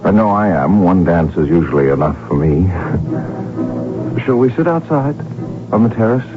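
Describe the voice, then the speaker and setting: An actor playing a courtly, smitten crown prince in a radio drama, speaking in a formal, measured, gently wry way. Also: male voice, deep voice, expressive. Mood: gallant, amused. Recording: tinny vintage radio broadcast, music behind.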